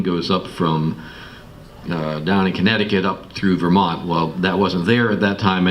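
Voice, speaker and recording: Low-voiced American man, recorded from afar. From Massachusetts, United States. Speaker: guest speaker telling his life story. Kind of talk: informal personal storytelling to an audience. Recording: distant mic, clearly noisy room recording.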